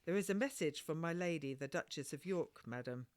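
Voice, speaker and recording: A woman voicing a dutiful, cautious maidservant in a storybook, plain-spoken and measured in a deferential way. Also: deep voice, expressive, dry recording.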